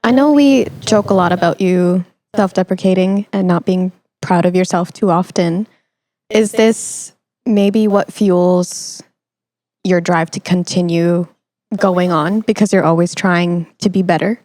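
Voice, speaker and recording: A woman's voice: on the low side, unhurried, warm tone, dry recording. A young woman hosting a conversational interview in an encouraging, supportive way. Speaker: podcast host.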